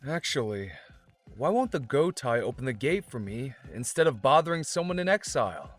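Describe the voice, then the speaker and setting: A man's voice: medium pitch, sing-song, normal speed. An actor playing a hot-headed, easily exasperated young father and hero in a fan audio drama. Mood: questioning.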